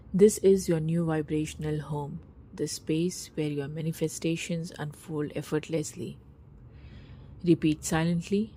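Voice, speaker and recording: A woman narrating a scripted guided meditation in a soft, breathy, husky voice, with a slow, soothing, hushed delivery and long pauses. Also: deep voice, a little hiss or hum.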